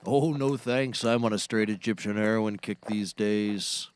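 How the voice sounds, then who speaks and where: A man's voice: on the low side, a little slow, slight background noise, lightly acted. A man playing a brash, scheming, theatrical sidekick in a scripted audio comedy, bossy and mock-grand as he teases. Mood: breezy.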